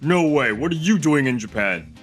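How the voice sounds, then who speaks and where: A man's voice: medium pitch, big pitch swings, moderate pace, natural voice. A man playing an arrogant, petulant rich heir in a manga dub, brash and dismissive, with music under the voice. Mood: indignant. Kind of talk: dramatic manga dub voice acting.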